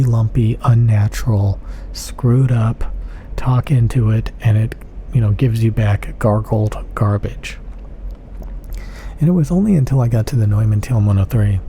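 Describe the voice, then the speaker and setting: A man with a low voice and a very dark, mellow tone, heard close up on a condenser mic in a quiet home room with faint room ambience behind him. He talks in emphatic strings of adjectives and now and then drops to a whisper. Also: slight background noise. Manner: emphatic, repetitive lists of adjectives, dips into whispers. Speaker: audio gear reviewer. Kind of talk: casual spoken monologue. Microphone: close-up studio condenser mic. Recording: close-mic studio recording.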